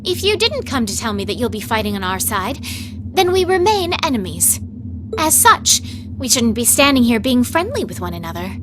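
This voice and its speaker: A woman's voice: a little high, sing-song, normal speed, noisy background. A woman playing a proud, prickly young female knight in a video game, with haughty, emphatic and formal diction. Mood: curt. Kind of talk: dramatic video game voice acting.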